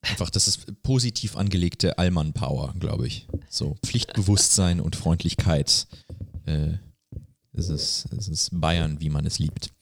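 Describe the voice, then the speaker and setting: A man's voice: low voice, a little slow. A man co-hosting a podcast in informal, casual chat, dropping the odd English phrase. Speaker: podcast co-host.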